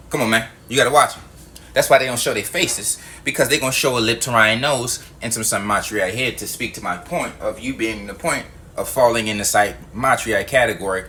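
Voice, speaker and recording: A man's voice: on the low side, brisk, bright, forceful tone, a little hiss or hum. A man delivering a heated monologue to his viewers, emphatic and profane, repeating his points. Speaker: video host.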